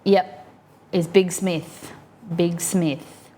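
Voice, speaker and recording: A woman's voice: lowish voice, fairly even intonation, very slow, mellow. A woman hosting a live stream, chatting casually and reading trivia clues aloud to her viewers while baking in her kitchen. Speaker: streamer host.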